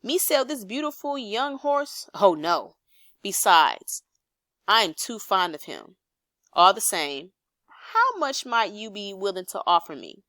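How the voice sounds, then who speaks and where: A woman voicing a conceited, excitable male toad in a children's storybook, with a pompous, exclamatory delivery. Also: mid-range voice, measured pace, no echo, clean studio sound. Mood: indignant, coy. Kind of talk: children's storybook read aloud.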